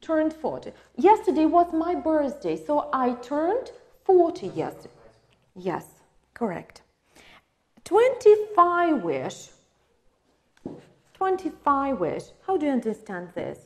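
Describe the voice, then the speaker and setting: A woman's voice: high voice, huge pitch swings, slow pace, pauses often, a little mellow. A woman with a Ukrainian accent teaching an English lesson, patiently asking questions and confirming answers. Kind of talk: online English lesson for exam prep.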